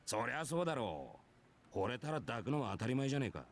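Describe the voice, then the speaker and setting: Brash, fast-talking warrior. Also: male voice, medium pitch, sing-song. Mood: nonchalant, wistful. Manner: rough, casual, nonchalant masculine speech. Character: brash, easygoing male warrior telling his own past. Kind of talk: Japanese visual novel voice acting.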